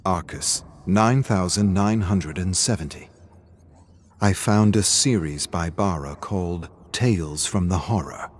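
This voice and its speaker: An actor playing an obsessive, brooding archivist who is trapped and set on escape, narrating a video game journal in a measured, deliberate, contemplative way. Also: male voice, sits low. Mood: intent, hopeful.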